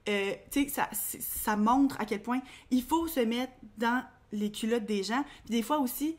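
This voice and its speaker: Chatty Québécois woman, high voice. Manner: chatty, quick, colloquial, many fillers. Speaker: podcast co-host. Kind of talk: casual chat.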